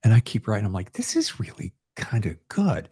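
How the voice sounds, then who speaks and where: An American man hosting a podcast, telling anecdotes in a casual, animated storytelling manner. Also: on the low side, brisk, husky. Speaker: podcast host; former radio morning show host.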